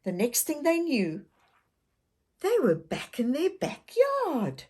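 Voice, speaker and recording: A woman's voice: sits high, huge pitch swings, slow pace, mellow. A woman reading a children's story aloud expressively, addressing young listeners directly with questions. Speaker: children's story reader, channel host.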